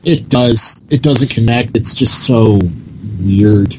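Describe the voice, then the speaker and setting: A man's voice: medium pitch, slow pace, background noise. A man speaking in casual, conversational style as a podcast panelist. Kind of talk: casual chat.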